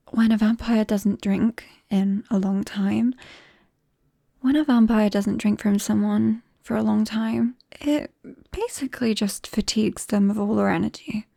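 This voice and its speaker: A British woman playing a shy, embarrassed young vampire confiding in a friend in an ASMR roleplay, soft-spoken and hesitant, often slipping into whispers, close to the microphone. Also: fairly high pitch, vocal fry, no echo, exaggerated voice. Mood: confiding, uneasy. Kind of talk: ASMR roleplay, intimate and conversational.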